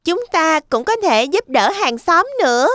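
A woman's voice: sits high, animated, unhurried, fairly bright tone. A woman voicing a small, clever, earnest boy piglet in a children's cartoon. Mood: earnest, cheerful. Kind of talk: animated fairy tale dub.

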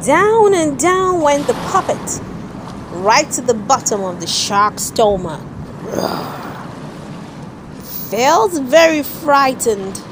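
A woman's voice: high-pitched, slight background noise. A woman reading a children's storybook aloud as narrator, expressively and with dramatic emphasis.

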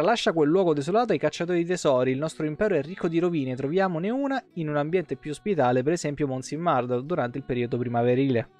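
A man in his thirties with a tiring voice, reading game lore aloud on a livestream with casual asides. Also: on the high side. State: voice tired and giving out. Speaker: game streamer. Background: soft plucked guitar music.

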